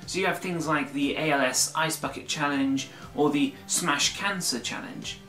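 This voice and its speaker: A man talks straight to his viewers in an earnest, emphatic vlog, his voice slightly croaky. The room around him is echoey, and faint music plays underneath. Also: fairly high pitch, sharp tone. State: slightly croaky after flu. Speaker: YouTuber. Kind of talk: casual vlog monologue.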